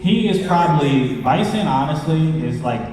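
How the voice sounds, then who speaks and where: Guy hosting a con panel, kinda high voice, unhurried n slangy, big echoey room. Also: expressive. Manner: informal, slangy. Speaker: panel host. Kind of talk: casual convention panel talk. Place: large indoor convention panel room. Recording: echoey hall, distant, crowd murmur.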